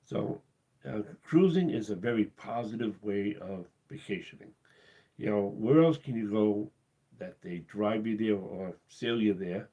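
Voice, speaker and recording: A man hosting his cruise channel live, losing his voice and talking in a halting, casual way with many pauses and restarts. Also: fairly low pitch, lively intonation, dark tone, lo-fi sound. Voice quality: husky. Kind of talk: casual live-stream talk.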